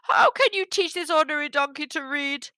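A woman's voice: high-pitched, animated, a little slow, sharp tone, no echo, studio quality, pitched above the performer's own voice, exaggerated voice. A woman voicing a poor, humble, anxious village father in a storybook, speaking respectfully and pleadingly. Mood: frightened, doubtful. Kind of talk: storybook character voice.

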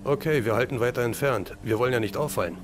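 Man acting easygoing male agent, casual, reassuring buddy, medium pitch, radio play, faint background noise. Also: quick pace, natural voice. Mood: easy, reassuring.

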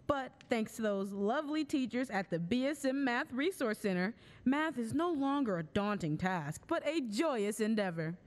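A woman's voice: on the high side, expressive, moderate pace. A young woman performing scripted narration for a stage radio play. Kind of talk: scripted narration and sponsor skit. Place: school auditorium stage.

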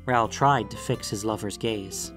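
A man's voice: on the low side, a little slow, a bit bright. A man reading scripted fiction as an audiobook-style narrator. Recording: music bed under the voice. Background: guitar music.

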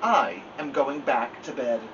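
A man's voice: medium pitch, sing-song, takes it slowly, dull audio. A man voicing a grumpy, sleepy male toad in a storybook, grumbling and reluctant. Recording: distant mic with background noise.

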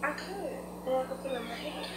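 A woman's voice: high-pitched, fast, lightly acted. A woman playing a sassy, giggly young woman in a comic stage scene, teasing and mocking. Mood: playful. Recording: noisy echoey hall stage recording.

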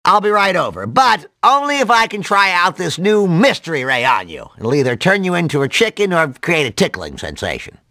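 A man voicing a nerdy, excitable, absent-minded cartoon mad scientist in a video game, nasal and full of rambling jargon and odd exclamations. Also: high-pitched, brisk, hardly any pauses, dry recording, exaggerated voice. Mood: eager rambling.

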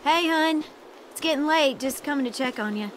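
A woman's voice: sits high, moderate pace, a little hiss or hum, lightly acted. A woman playing a caring, protective young woman in a video game drama, with a folksy, fussing manner. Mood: caring, relieved.

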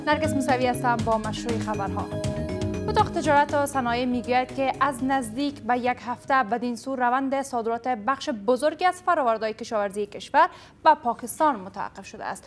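A woman's voice: a little high, faint music behind. A young woman anchoring a TV news program in a studio, reading reports formally and interviewing a guest. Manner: formal, measured.

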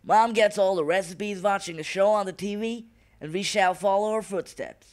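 A man's voice: sits high, normal speed, natural voice. A man voicing an eager, overconfident twelve-year-old big brother in a cartoon, dramatic and self-assured. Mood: eager, confident. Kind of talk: cartoon voice acting.

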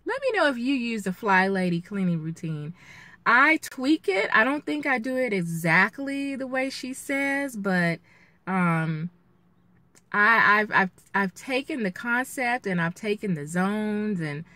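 Woman doing a motivational car vlog, casual and encouraging, pauses to think, mid pitch, no echo. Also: big pitch swings, pushed and bright. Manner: encouraging, reflective, halting with pauses. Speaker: vlogger. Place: inside a parked car, daytime. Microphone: camera or phone at arm's length.

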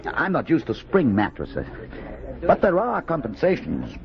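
An actor playing a smug, coolly polite pursuer with a suave, insinuating manner in 1950s radio drama style. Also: male voice, medium pitch, huge pitch swings, unhurried, vocal fry, full character voice. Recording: dull old radio recording.

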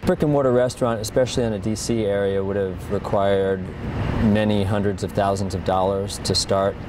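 A man's voice: fairly low pitch, brisk, distant mic, noisy background. A middle-aged man speaking matter-of-factly in an outdoor interview on a city street. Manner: flat, matter-of-fact. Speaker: expert.

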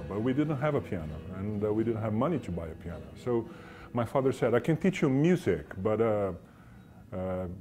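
A man's voice: medium pitch, warm tone. A middle-aged man, a guitarist, talking casually in an interview, self-deprecating and full of fillers. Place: small room, indoors. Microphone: lapel.

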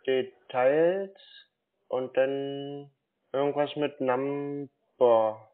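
A man with a mid-range voice talks very slowly over a lo-fi phone line. He sounds naive and muddled, taking things literally like a bumbling customer. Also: medium pitch. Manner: feigned confusion, naive, literal-minded. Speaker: scambaiter playing a naive customer. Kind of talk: slow, bumbling phone conversation. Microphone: telephone call.

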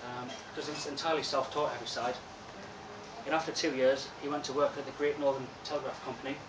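A soft-spoken young man giving an informal lecture, relaxed and chatty with frequent ums. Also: mid-range voice, fast talker. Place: lecture room with projector, indoors. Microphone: far room camera. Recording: echoey room from far off with loud hiss.

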